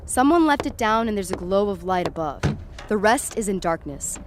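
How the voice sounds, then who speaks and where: A young woman played by an actress confides a horror drama in the first person, urgent and rattled. Clear street-like ambient noise sits behind her mid-pitched voice as she tells it like an anxious inner monologue. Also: expressive, normal speed, lightly acted. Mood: reflective, wary. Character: Alex, panicky young woman tripping on acid, confiding.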